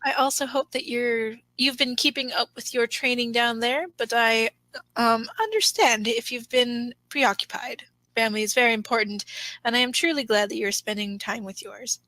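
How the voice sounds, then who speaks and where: Gracious young alien princess, acted by a woman, formal and earnest, bright and fairly high, fan audio drama. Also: moderate pace, dry recording, rough recording. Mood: warm, formal.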